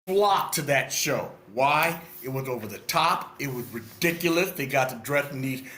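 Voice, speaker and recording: A man's voice: medium pitch, animated, normal speed, bright, forceful tone. An older man giving casual, blunt and enthusiastic spoken critique on an online meeting. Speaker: script feedback participant. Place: indoors on an online meeting call. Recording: roomy echoing online meeting audio.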